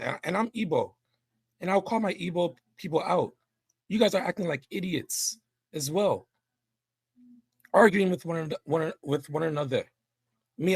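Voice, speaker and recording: A man's voice: fairly high pitch, creaky, breathy edge, dry recording. A man arguing indignantly in an online debate, emphatic and repeating himself. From New York City. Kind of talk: heated debate.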